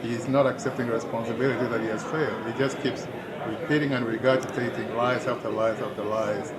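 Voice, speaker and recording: A man's voice: mid-range voice, steady tone, a little fast. A man with a Kenyan accent, interviewed at a town hall, speaking earnestly and persuasively with frequent restarts. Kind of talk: street interview, political commentary. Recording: loud crowd behind.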